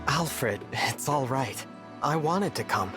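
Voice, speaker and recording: A man playing a gentle, earnest young divine hero in a video game, soft-spoken and formal in manner. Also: mid-range voice, expressive, slow, over music. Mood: thoughtful, sincere. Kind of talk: scripted video game voice acting.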